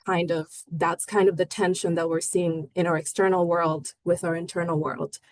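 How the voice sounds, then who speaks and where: A woman's voice: lowish voice, little pitch movement, normal speed. A woman from Bangladesh moderating a panel discussion, hesitant and full of fillers.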